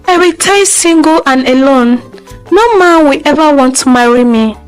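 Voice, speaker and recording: A woman's voice: sits high, animated, moderate pace, soft background music, voice pushed up, rough recording. A woman voicing a rejected young village woman in a folktale, speaking in an emotional, imploring way. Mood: despairing. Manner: emotional, pleading.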